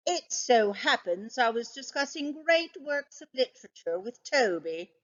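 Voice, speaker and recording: A woman's voice: a little high, very animated, measured pace, dull audio, pitched above the performer's own voice. Woman reading as a naive, earnest storybook dog. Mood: dignified. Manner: earnest, innocent, striving for dignity. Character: naive, food-loving, good-natured male dog. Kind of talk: children's storybook read-aloud.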